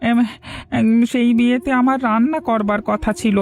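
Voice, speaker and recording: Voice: mid-range voice, fairly even intonation, moderate pace, natural voice. Cartoon old village cook, male character, voiced by an actor: earnest, helpless, pleading, light music underneath. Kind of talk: Bengali cartoon voice acting.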